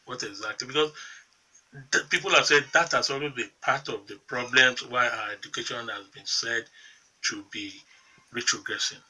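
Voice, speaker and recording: A man's voice: medium pitch, normal speed, pushed and bright, husky, distant mic, poor audio. A Nigerian man hosting a morning TV show, asking probing, emphatic questions. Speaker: TV host.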